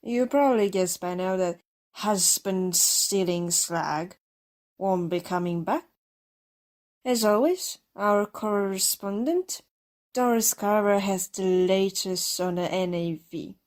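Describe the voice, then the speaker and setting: An actress playing a bitter, catty TV news anchor with a deliberate, snide manner in an audio drama. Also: female voice, mid-range voice, darkish tone, lightly acted. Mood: bitter, formal.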